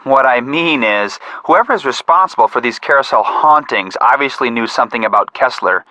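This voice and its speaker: A sensible young male detective from a video game. His voice is crisp-edged and bright, mid-pitched and recorded very dry. He speaks precisely, with cautious, level-headed dryness. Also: lively intonation, fairly quick, no room sound, rough recording. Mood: serious. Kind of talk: scripted game voice acting.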